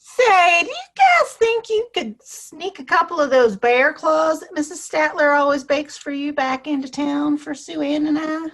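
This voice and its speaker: Woman playing a sassy high-voiced saloon gal. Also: normal speed, few pauses, fairly bright tone. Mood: flirty. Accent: American. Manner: flirty, sassy, folksy. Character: sweet-talking, spirited Old West saloon woman. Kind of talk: Western audio play acting.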